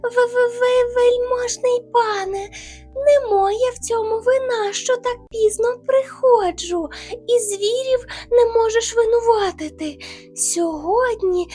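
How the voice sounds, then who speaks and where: Timid hare, polite and stammering, voiced by a woman, very high, music in the background. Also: warm tone. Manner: stammering, tearful pleading. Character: timid small male hare, trembling and polite.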